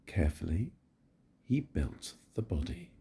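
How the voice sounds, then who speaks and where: An older man reading a picture book aloud to his grandchildren in a gentle, very expressive storytelling manner. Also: low voice, measured pace, gravelly, breathy edge.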